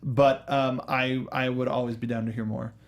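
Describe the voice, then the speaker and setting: A man's voice: medium pitch, level tone, normal speed. A man hosting a film discussion show in a casual, chatty, rambling way with joking asides.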